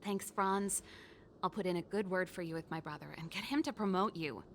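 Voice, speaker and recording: A woman's voice: lowish voice, fairly quick, slight background noise, natural voice. An actress voicing a confident, brash woman mechanic in a video game, with assertive, teasing, dryly persuasive delivery. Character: confident, brash, sardonic adult female mechanic. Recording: loud music under the voice.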